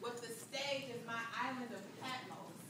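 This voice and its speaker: A woman performing a spoken word poem live on a club stage, rhythmic and building from hushed lines to loud declamation. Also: fairly high pitch, fairly even intonation, normal speed, noisy background. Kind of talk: slam poetry performance. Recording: echoey club recorded from far off.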